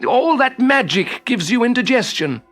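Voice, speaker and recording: A crotchety, wily old wizard from a children's storybook. His voice sits high and swings widely as he grumbles and wheedles. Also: sing-song, normal speed, a bit bright, heavily acted. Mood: sly, gasping. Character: grumpy, cunning old male wizard.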